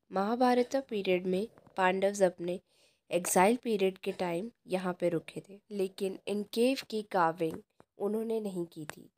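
A woman with an Indian accent reading a measured, informative travel voice-over, mixing in English terms. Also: medium pitch. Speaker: vlogger narrating a travel guide. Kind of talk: scripted informational voice-over narration.

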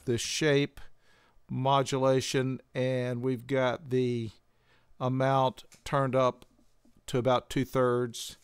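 An older man giving a measured, methodical instructional demonstration as a tutorial presenter. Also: medium pitch, clear, resonant tone, no room sound, clean studio sound.

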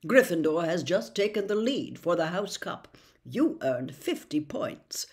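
An older woman plays a pompous school prefect in a storybook. He talks heartily and with self-important emphasis, his pitch moving widely. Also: very animated, measured pace, a little mellow. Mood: hearty approval.

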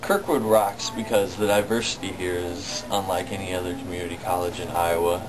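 A man's voice: deep voice, little pitch movement, fairly quick, poor audio. A man gives a short spoken testimonial to camera. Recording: loud crowd chatter around speaker.

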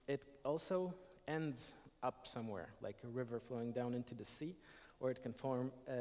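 A man's voice: mid-range voice, a little mellow. Man lecturing in a reverberant hall. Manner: explanatory, frequent fillers and restarts. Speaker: conference speaker. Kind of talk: technical conference talk. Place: large lecture hall, at a podium.

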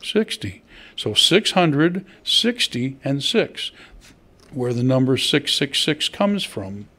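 A man's voice: mid-range voice, very animated, slow pace, pushed and bright. An older man, a pastor, preaching a sermon at a church pulpit, conversational and asking his listeners questions. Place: church hall at a wooden pulpit. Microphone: stand mic at the pulpit.

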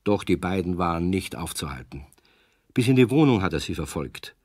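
A man narrating a children's story in a calm, friendly way, his voice fairly low. The sound is close and dry, with no room echo. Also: normal speed.

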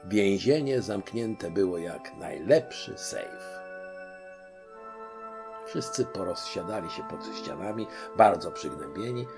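A man narrating a children's audiobook in an expressive storytelling style. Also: deep voice, slow pace, over music.

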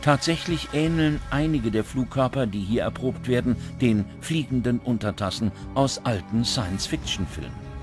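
A man reading scripted documentary narration in a measured, dramatic manner. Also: mid-range voice. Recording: music under the voice. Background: background music.

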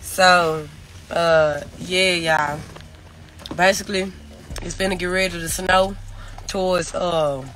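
A woman's voice: fairly low pitch, slight background noise, low-quality recording. A young woman with a Memphis Southern accent, talking casually to fans on a phone livestream from inside a private jet. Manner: Southern slang, fast and animated. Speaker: rapper on a livestream. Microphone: phone held at arm's length.

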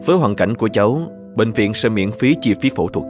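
Actor as serious cartoon physician, considerate but grave, fairly deep voice with music behind. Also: male voice, expressive, lightly acted. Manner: grave, measured, formal. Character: serious, considerate male hospital doctor. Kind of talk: animated children's story dubbing.

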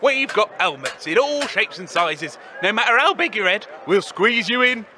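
A man's voice: sits high, sing-song, normal speed, sharp tone, faint music behind, exaggerated voice. A man voicing a brazen female wasteland trainer, selling services in a matter-of-fact way. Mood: hawking.

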